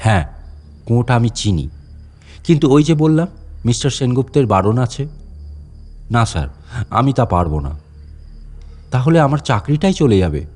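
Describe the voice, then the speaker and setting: A man's voice: mid-range voice, a little mellow. A man playing a wary, talkative fort guide in a dramatic storytelling monologue, chatting to unseen visitors and putting questions to them. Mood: guarded, secretive. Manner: conversational, addressing unseen listeners with questions. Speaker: audio story narrator. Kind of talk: dramatic first-person monologue narration.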